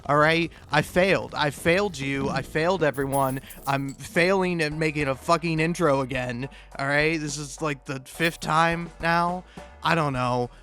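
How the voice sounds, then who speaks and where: A man improvising a self-deprecating comic monologue for a gaming video, rambling and halting, often interrupting himself and swearing casually. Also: a little high, a bit bright. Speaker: video game YouTuber.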